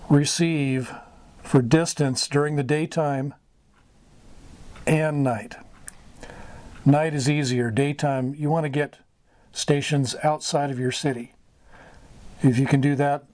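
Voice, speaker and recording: A middle-aged man giving a casual tutorial as host, speaking haltingly in short phrases with pauses. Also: fairly high pitch, darkish tone. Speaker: host of a hobby radio tutorial. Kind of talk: casual whiteboard explainer. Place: small wood-paneled indoor room. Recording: clear background noise, room ambience.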